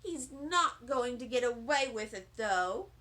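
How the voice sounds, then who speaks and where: An indignant little schoolgirl from a storybook, voiced by a woman, competitive and scolding. She speaks high and bright with firm, emphatic stress. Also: animated, measured pace. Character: indignant, competitive first-grade girl May. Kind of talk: children's storybook read-aloud.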